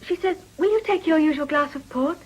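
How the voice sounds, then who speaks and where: An actress as a demure young maid in a British period radio play. Her voice is high. She speaks with servile politeness, eager to please. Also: a little fast, highs cut off, slight background noise, lightly acted. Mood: demure, deferential.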